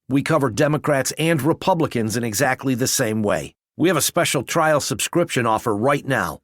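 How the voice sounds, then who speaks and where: A man's voice: medium pitch, no echo, clean studio sound. A man narrating a scripted advertisement as its announcer.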